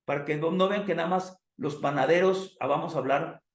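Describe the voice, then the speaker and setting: Hoarse man lecturing in an echoey room. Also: a little high, a little fast. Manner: asks the class questions and addresses them as "amados". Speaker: theology teacher. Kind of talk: classroom lecture with preacher cadence.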